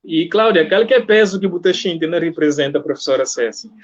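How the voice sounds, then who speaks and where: A man's voice: a little high, expressive, quick pace. A man with a Cape Verdean accent conducting a casual interview in an encouraging, conversational way. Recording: dull lo-fi audio.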